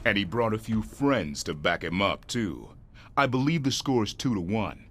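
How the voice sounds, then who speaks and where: An actor voicing a confident, gruff male creature built from relics, cocky and dry, in a video game. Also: on the low side, sing-song, normal speed, a bit bright, slight background noise. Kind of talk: animated video game hero acting. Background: prominent music.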